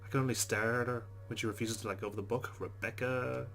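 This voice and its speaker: A man's voice: medium pitch, fairly even intonation, fast, creaky, a bit bright, faint music behind. A man hosting a let's play, reading visual novel text aloud and adding informal, chatty commentary.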